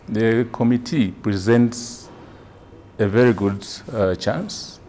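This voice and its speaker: A middle-aged man from Zambia, a government trade official, answering interview questions in a measured way with frequent hesitations. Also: sits low, warm tone, slight background noise. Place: conference room indoors.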